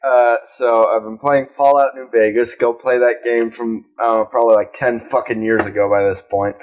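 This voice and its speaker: Young male podcast co-host, laid-back and rambling, casual swearing, mid-pitched voice. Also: level tone, normal speed, a little mellow. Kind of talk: casual chat.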